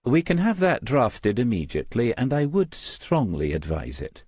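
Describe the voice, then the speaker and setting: A man voicing a formal, careful solicitor in an audiobook, speaking precisely and in measured phrases. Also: mid-range voice, very animated, darkish tone, breathy edge, no room sound, studio quality, voice pushed up. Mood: formal, advisory. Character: formal, careful male solicitor giving legal advice.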